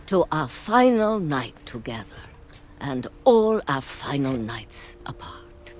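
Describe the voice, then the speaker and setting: An actress playing an elderly, stubborn, wistful great-grandmother with a creaky aged voice, telling stories slowly and deliberately in a video game. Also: a bass voice, sing-song, faint music behind, exaggerated voice. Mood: wry, composed. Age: older. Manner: slow, deliberate delivery. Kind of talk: dramatic video game storytelling.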